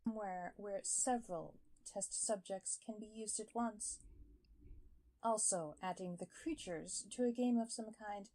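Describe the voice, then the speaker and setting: A woman playing a detached scientist in a scripted audio log, speaking in a measured, hesitant, matter-of-fact way. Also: mid-range voice, mellow, lightly acted. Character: detached female scientist logging secret creature experiments.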